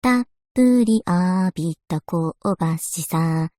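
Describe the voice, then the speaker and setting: A woman's voice: on the low side, takes it slowly, mellow, dry recording. A woman voicing a polite, scholarly young historian in a game, speaking with formal, refined courtesy. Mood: playful singsong. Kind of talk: scripted game character voice lines.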